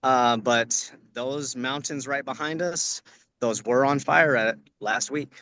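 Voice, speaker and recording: American guy actor answering questions, bright forceful voice, earnest and casual. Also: mid-range voice, slow pace, dry recording, poor audio. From Orange County, California. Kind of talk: casual spoken advice to acting students. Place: outdoors on location, on a video call.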